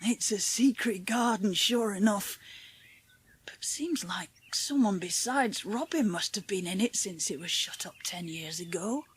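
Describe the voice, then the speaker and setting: A woman playing a friendly, gentle country boy with a Yorkshire accent in a dramatised audiobook. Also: medium pitch, animated, a little slow, husky. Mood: friendly enthusiasm. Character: friendly, rustic Yorkshire moorland boy, gentle nature lover.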